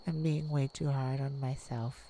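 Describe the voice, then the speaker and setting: A woman playing a tenderhearted warrior mage in a soft ASMR romantic roleplay, speaking in a hushed, soothing, halting way. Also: extremely low pitch, steady tone, a little hiss or hum. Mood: tender, worried. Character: tenderhearted young warrior mage comforting a werewolf lover. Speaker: audio roleplay performer.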